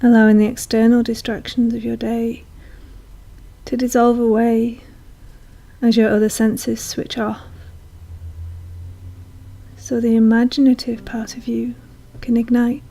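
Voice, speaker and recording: Very slow, soft woman guiding meditation. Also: on the high side, vocal fry. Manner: slow, soothing, hushed, with long pauses. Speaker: meditation guide. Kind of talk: guided meditation. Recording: soft music behind voice. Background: calm meditation music.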